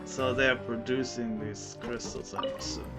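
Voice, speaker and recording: A man's voice: mid-range voice, level tone, normal speed, loud music behind the voice. A man giving casual commentary as he plays through a video game. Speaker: game streamer.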